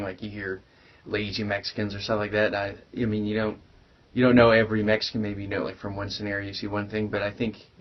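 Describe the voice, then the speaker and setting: A young man in a documentary interview, speaking casually and hesitantly with lots of fillers. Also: on the low side. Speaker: interviewee, student. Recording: dull, lo-fi sound.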